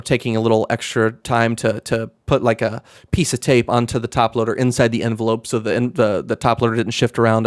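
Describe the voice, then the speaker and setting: A young man hosting a casual live stream, talking in a rambling, monotone way with many fillers and restarts. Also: mid-range voice, dry recording, studio quality. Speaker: live stream host, card seller.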